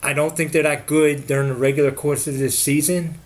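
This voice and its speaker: A man's voice: on the high side, flat delivery, brisk, darkish tone. Teasing, offhand co-host guy. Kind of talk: casual sports chat.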